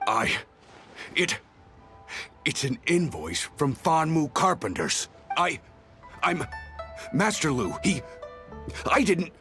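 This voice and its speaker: Flustered merchant with wild pitch swings. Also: male voice, sing-song, takes it slowly, pauses often, sharp tone, faint music behind, exaggerated voice. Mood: panicked stammering. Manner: stammering, indignant. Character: flustered, shifty merchant caught evading taxes. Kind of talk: video game voice acting.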